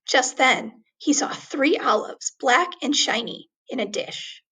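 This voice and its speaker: A woman reading a children's story aloud expressively, with deliberate, dramatic emphasis on words. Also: high-pitched, animated, bright, forceful tone, husky.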